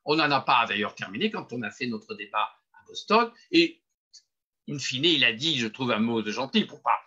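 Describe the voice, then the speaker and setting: A man's voice: medium pitch, lively intonation, normal speed, sharp tone, breathy edge. An older man from France giving a webinar presentation on marketing, lecturing emphatically with self-deprecating humor. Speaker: webinar speaker. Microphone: webinar computer mic. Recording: muffled lo-fi webinar audio.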